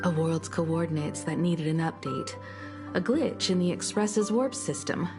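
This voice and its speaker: Caring female starship navigator, slightly low voice, gentle, reflective, nostalgic mentor, video game story dialogue, music underneath. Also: measured pace.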